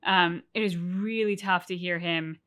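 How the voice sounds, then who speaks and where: A woman co-hosting a game reaction video, talking in an animated, rambling way with many restarts. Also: fairly low pitch, measured pace. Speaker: co-host of a game reaction channel. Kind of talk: casual reaction chat.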